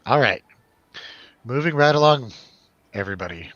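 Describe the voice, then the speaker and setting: A man hosts a casual film review talk in a wry, rambling way. His voice sits at an ordinary male pitch. The recording is dry and a little dull. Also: lively intonation, normal speed, a bit bright, no room sound. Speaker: host of a film review show.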